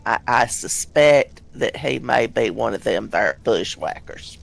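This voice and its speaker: A man voicing an aggrieved, mock-innocent country don, deadpan and plaintive in improvised game role-play. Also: medium pitch, measured pace, vocal fry, heavily acted. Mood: suspicious, deadpan. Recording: music playing behind the voice.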